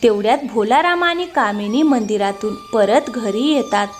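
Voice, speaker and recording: High-voiced woman narrating a moral story. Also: sing-song, normal speed. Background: music.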